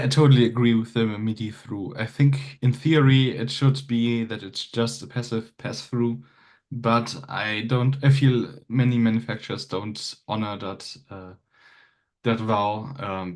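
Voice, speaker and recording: A man with a slightly low voice. He speaks as a panelist, giving technical opinions in a casual, conversational way. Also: fairly even intonation, a little slow, darkish tone. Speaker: panelist in music technology discussion.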